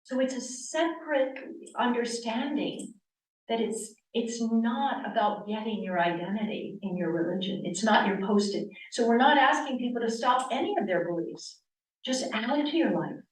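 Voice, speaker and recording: A woman's voice: on the high side, moderate pace, reverberant, far from the mic, rough recording. A woman in her sixties from Connecticut, a yoga teacher giving an earnest church talk full of rhetorical questions. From Connecticut, US East Coast. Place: church hall.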